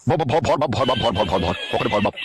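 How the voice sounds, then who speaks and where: A man voicing a grumpy, foul-mouthed older father-in-law in a comic cartoon dub, grumbling excitably. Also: on the high side, racing, music in the background. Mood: panicked pleading.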